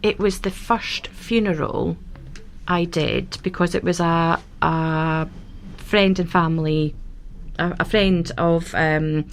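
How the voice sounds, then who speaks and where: A woman guest on a radio show, chatting warmly about her work as a celebrant, with frequent fillers and restarts. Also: lowish voice, fairly even intonation, slow pace, a little hiss or hum. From Scotland. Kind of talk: casual radio interview. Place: radio studio. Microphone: studio microphone.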